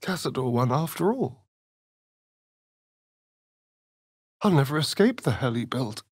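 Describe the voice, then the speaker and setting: An actor with an English accent playing a sardonic, flamboyant vampire spawn rogue in a video game, theatrical and arch in manner. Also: male voice, mid-range voice, sing-song, a little fast, slightly rough, dry recording, studio quality. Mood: bitter. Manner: theatrical, arch, drawling with sighs. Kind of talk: video game character acting.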